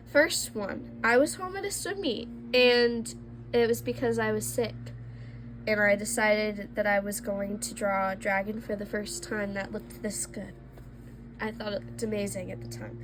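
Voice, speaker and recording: A woman's voice: medium pitch, slight background noise. Congested young woman, chatty sketchbook tour. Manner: chatty, self-deprecating, lots of fillers. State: congested from allergies. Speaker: art vlogger.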